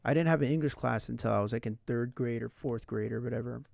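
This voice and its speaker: A young man streams late at night, talking through his thoughts in a loose, rambling way and dropping "like" and "right?" into most sentences. His voice has a gravelly, fried edge and a mid pitch. The sound is dry and close. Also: fast, a little mellow, dry recording. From San Diego, United States. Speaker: language learning streamer. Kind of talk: casual livestream chat. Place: indoors at night.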